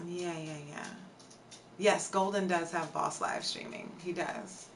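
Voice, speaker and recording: A young woman with a hoarse, tired voice, chatting casually with her viewers on a home live stream and filling her talk with "like" and "um". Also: fairly low pitch, takes it slowly, recorded from a distance, a little hiss or hum. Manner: chatty, reads out chat names, many fillers. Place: home streaming room with shelves.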